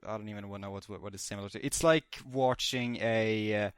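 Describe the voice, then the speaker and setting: A man talking casually as a podcast panelist, repeating himself for emphasis and haltingly correcting himself. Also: lowish voice, steady tone, clean tone, no echo, clean studio sound. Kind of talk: casual discussion.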